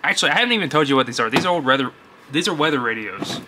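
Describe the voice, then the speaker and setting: A man's voice: mid-range voice, lively intonation, rapid-fire, noisy background. A young man talking casually and off the cuff, rambling informally as he shows items to viewers in a basement. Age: young adult. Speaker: host of a vintage electronics collecting channel. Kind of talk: casual unscripted show-and-tell vlog.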